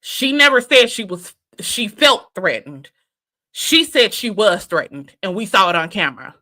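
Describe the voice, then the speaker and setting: A woman's voice: medium pitch, sing-song, measured pace, bright, forceful tone. A woman in her thirties giving casual, emphatic commentary straight to her viewers, repeating her points. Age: 30s. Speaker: reality TV reviewer.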